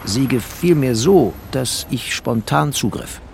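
A man's voice: mid-range voice, lively intonation, takes it slowly, gravelly, darkish tone, slight background noise. A man playing a bookish, wry war refugee who narrates a literary radio play in clipped, poetic phrases. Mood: wry, observant.

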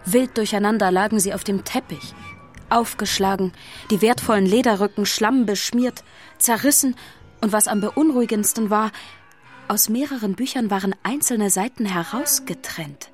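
A woman's voice: mid-range voice, moderate pace, natural voice. A woman narrator telling a scripted story in a children's radio play. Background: music.

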